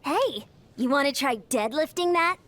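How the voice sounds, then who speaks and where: Eager young video game girl, high-pitched with swooping intonation, hearty energy. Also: expressive, normal speed, a bit bright. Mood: eager, curious. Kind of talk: video game character voice lines.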